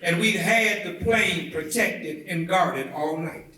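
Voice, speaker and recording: A man giving a dramatic, oratorical reading of a speech, with deliberate, measured phrasing and emphatic swells. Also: high voice, pushed and bright. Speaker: reader at a commemorative event. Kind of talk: dramatic reading of a historic civil rights speech. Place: indoor theatre event, heard from a distance. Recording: echoey indoor hall, far from the mic.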